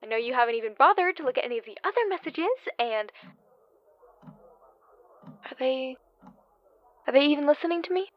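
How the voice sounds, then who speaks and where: A woman's voice: high voice, expressive, fairly quick, long pauses. A woman acting as an earnest, exasperated younger sister on a phone call in an audio drama, pleading in manner. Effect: phone call filter. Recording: dull, band-limited phone call sound.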